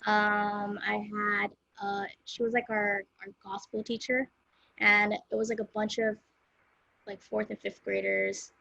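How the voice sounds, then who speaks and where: Creaky-voiced young woman chatting casually. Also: mid-range voice, measured pace, no echo, muffled recording. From South Central Los Angeles, USA. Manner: relaxed, rambling, with many fillers like "um" and "like". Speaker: guest singer-songwriter. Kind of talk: casual interview chat.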